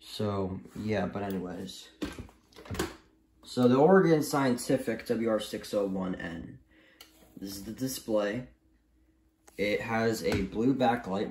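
A man giving a casual, unscripted review in a halting, repetitive and emphatic way, recorded in a room at home. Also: fairly low pitch, darkish tone, slight background noise. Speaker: vlogger reviewing gadgets. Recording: roomy, echoey home recording.

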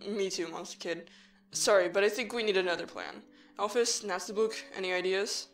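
A woman playing an earnest, cautious human child in a fan audio drama, measured, serious and matter-of-fact. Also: mid-range voice, soft background music, natural voice. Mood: questioning.

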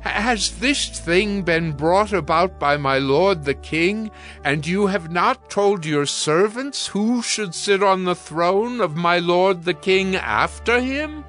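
Earnest prophet, forceful and slow with big swoops in pitch, biblical audio drama. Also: male voice, animated, faint music behind, heavily acted. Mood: indignant, pleading. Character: earnest male prophet pleading before his king. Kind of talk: dramatized audio Bible acting.

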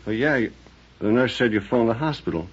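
An actor playing a loyal, plain-spoken police sidekick in an old radio drama. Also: male voice, mid-range voice, lively intonation, brisk, breathy edge. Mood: matter-of-fact. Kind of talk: 1940s radio acting. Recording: dull lo-fi old radio recording.

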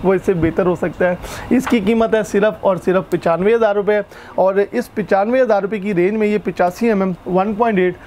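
Hoarse high-voiced man explaining. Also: fairly quick, slight background noise. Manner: steady explanatory, lists features one by one. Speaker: camera shop expert. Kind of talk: explaining product specs and prices. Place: indoor camera shop. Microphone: lapel mic.

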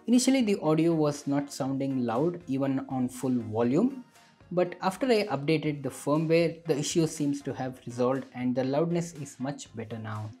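A man narrates a tech product review in a mellow, slightly rough voice. His delivery is that of a scripted explainer. Faint music plays behind him. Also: fairly high pitch, lively intonation, normal speed.

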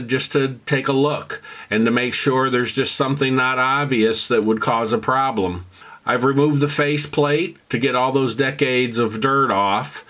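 A man's voice: mid-range voice, fairly bright tone. A man presenting a casual how-to repair voice-over in a conversational, folksy, instructive way. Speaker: presenter of vintage audio repair videos.

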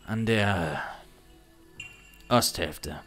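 A man's voice: low voice, extremely slow, a bit bright, soft background music, heavily acted. Man acting an awkward, intense obsessive artist. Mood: intense, pushy. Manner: stilted, abrupt, forced laughing. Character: awkward, intense, obsessive male artist with nervous forced laughter. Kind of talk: improvised radio play acting.

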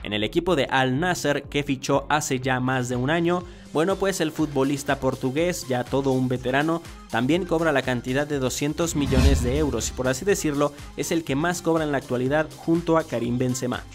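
A man's voice: mid-range voice, normal speed, hardly any pauses. A man in his thirties narrating a scripted football countdown as an online video host, with emphatic presenter-like delivery. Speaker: YouTube host. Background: background music.

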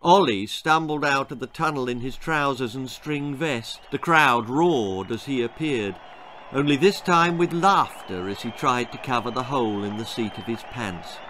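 A man's voice: mid-range voice, normal speed. Older man, theatrical storyteller. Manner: expressive, theatrical. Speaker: author reading own story. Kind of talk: dramatic children's story narration. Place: small book-lined room indoors.

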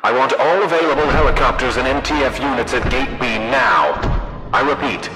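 Man as a commander in long booming reverb. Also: animated, brisk, music in the background, lightly acted. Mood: urgent, commanding. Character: commanding male military officer issuing emergency orders. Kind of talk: public emergency announcement. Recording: reverberant radio announcement over loud background.

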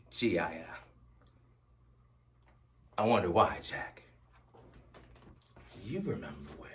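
Echoing and off-mic, a male actor plays a bitter, unhinged man in a theatrical monologue. His delivery is slow and halting, with volatile swings from hush to outburst. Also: on the low side, heavily acted. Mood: sardonic, halting. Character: bitter, unhinged man confronting an old acquaintance. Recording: roomy echo, distant mic, faint noise.

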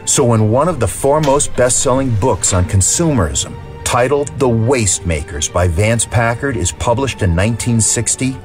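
A man's voice: medium pitch, animated, moderate pace, hardly any pauses, soft background music. A man reading scripted documentary narration as the narrator.

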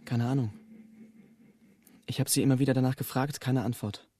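Ein Schauspieler in seinen Zwanzigern spielt im Radiokrimi einen verbitterten, sarkastischen Sohn beim Verhör. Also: male voice, medium pitch, fairly even intonation, moderate pace, warm tone, lightly acted. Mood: bitter, sardonic. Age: 20s. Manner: sarcastic, defiant. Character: bitter, sullen young man, estranged son being questioned. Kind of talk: naturalistic German radio drama acting.

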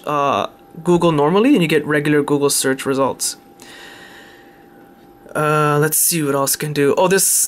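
Male tech presenter, slightly higher pitch, informal and halting unscripted demo. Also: a bit bright. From Canada. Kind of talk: casual unscripted phone demonstration.

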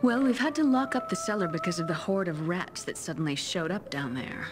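A woman playing a friendly, concerned tavern keeper in a fantasy video game, confiding and unhurried. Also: on the low side, sing-song, over music.

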